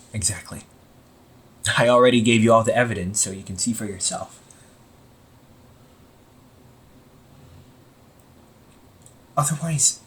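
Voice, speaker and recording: A man playing a young supervillain in an amateur audio roleplay, husky and breathy, speaking earnestly and haltingly to the listener. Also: lowish voice, fairly bright tone, exaggerated voice. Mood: incredulous, insistent. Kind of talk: amateur audio roleplay, intimate one-sided dialogue to listener.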